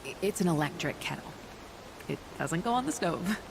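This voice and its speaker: Low calm superhero lady for a game, gently teasing and kind, noisy ambience behind. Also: normal speed, gravelly. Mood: wry, teasing. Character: calm, kind, wry female superhero doctor wielding thunder hammer. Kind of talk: naturalistic video game dialogue.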